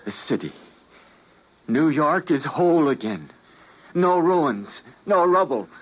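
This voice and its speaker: An actor playing a weary, idealistic scientist and time traveler, narrating and speaking gravely and dramatically in an old-time radio drama. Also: male voice, a little high, animated, slow pace, slight background noise. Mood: awed. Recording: dull old radio recording.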